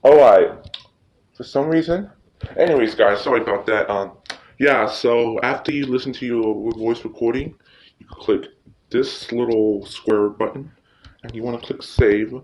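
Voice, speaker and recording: A man hosting a casual tutorial, speaking informally and haltingly, often repeating himself. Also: mid-range voice, a little mellow. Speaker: tutorial host. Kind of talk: casual screen-recording tutorial. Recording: noisy recording with clicks.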